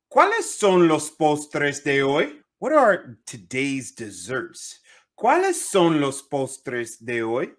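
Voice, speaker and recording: A young man teaching a language lesson, repeating phrases slowly and switching between two languages in an animated way. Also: medium pitch. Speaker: Spanish teacher.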